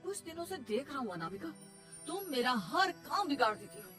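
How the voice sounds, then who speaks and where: A woman's voice: sits high, sing-song, fairly quick, faint music behind. A woman voicing a stern, exasperated young husband who complains, in a cartoon moral story.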